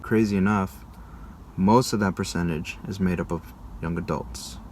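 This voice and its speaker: A man's voice: sits low, steady tone, moderate pace, darkish tone, slight background noise. A young American man presenting to viewers in an informal, conversational way, like a casual vlog narration. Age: young adult. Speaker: video creator presenting a school project.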